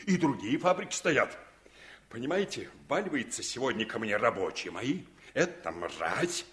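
An actor playing an arrogant, greedy factory owner in a radio play, blustering and sneering with scorn. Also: male voice, medium pitch, sing-song, moderate pace, a bit bright, reverberant. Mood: indignant. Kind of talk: Soviet radio play acting.

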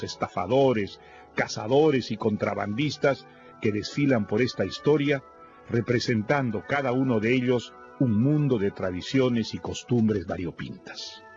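A man reads a formal, measured literary introduction for a radio program. The sound is somewhat dull, with faint music underneath. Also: mid-range voice.

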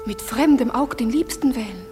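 An actress playing a steadfast, sorrowful young maiden in love, speaking earnest, plaintive verse in a classical radio drama. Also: female voice, a little high, moderate pace, long reverb tail, over music, close to an everyday voice.

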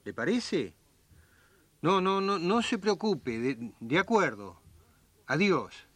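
An eager Argentine brother-in-law in a radio serial. His voice is bright and swings with animated pitch. He is polite and conspiratorial, with a Rioplatense accent. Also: slow pace, husky, dry recording, full character voice. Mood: agreeable. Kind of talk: radio drama acting.

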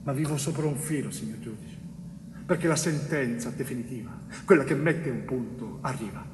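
A man's voice: medium pitch, lightly acted. Actor as tormented Sicilian man, echoing hall. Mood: wistful, uneasy. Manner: halting, repetitive, emphatic, with dramatic pauses. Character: wrongly convicted Sicilian bricklayer, tormented, tender, pleading with a judge. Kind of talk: theatrical stage monologue. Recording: echoey hall, distant mic, faint noise.

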